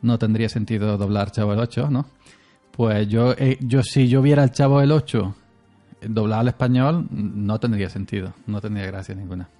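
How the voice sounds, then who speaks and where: A man's voice: fairly low pitch, fairly even intonation, moderate pace, dark tone, soft background music. A man from Andalusia with an Andalusian Spanish accent, chatting casually as a podcast co-host, rambling and chuckling often. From Andalusia, Spain. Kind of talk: casual chat.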